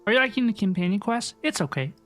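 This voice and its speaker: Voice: mid-range voice, expressive, fast talker, a bit bright. A livestreamer chatting casually with viewers while playing a video game, rambling and reacting aloud in slang. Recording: game music behind the voice. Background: video game music and dialogue.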